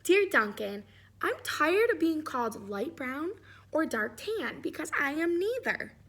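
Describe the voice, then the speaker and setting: A woman's voice: high voice, sing-song, measured pace, fairly bright tone, voice pushed up, exaggerated voice. A woman voicing a little beige crayon in a storybook, proud but slighted, aggrieved and insistent. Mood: aggrieved pride.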